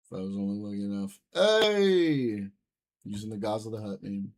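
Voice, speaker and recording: A man's voice: lowish voice, animated, normal speed. Casual, profane young male streamer. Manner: loose, profane banter with viewers. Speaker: livestream host reading chat. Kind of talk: casual live-stream chat.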